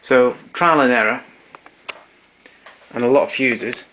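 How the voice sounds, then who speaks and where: A man narrating a casual show-and-tell of his tape recorders, explaining step by step with pauses and fillers. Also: mid-range voice, sharp tone, a little hiss or hum, poor audio.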